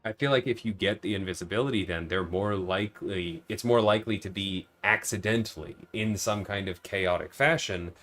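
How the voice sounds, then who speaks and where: A man's voice: on the low side, moderate pace, hardly any pauses, fairly bright tone. A man livestreaming a video game, chatting casually and thinking aloud as he reasons with viewers. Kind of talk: casual livestream gameplay chat.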